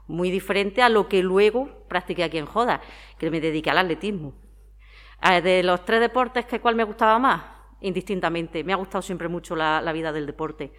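A woman's voice: on the low side, normal speed, fairly bright tone. A woman in her forties with an accent from Spain, talkatively answering interview questions in a conversational way, explaining with examples. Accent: Spanish (Spain). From Madrid, Spain. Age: 40s. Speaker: interviewee, former athlete and town sports councillor.

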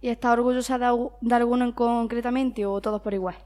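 A woman with a Spanish accent from Spain is interviewing someone. Her voice is fairly high. She puts questions that sound prepared beforehand. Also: fairly even intonation, fast talker, darkish tone.

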